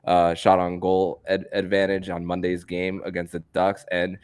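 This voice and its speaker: A man hosting a sports podcast in a conversational style, halting often and repeating words as he goes. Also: low voice, monotone, darkish tone, clean tone.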